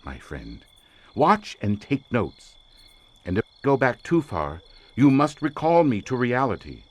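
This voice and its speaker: An actor playing an intense, imperious occult researcher in an audio drama, speaking in a formal, grandiloquent, theatrical manner. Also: male voice, medium pitch, huge pitch swings, measured pace. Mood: intense, instructing.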